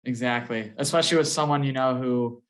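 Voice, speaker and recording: A man's voice: medium pitch, fairly even intonation, a little fast. Easygoing male interview host. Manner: loose and friendly, heavy on fillers like "you know". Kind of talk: casual interview hosting.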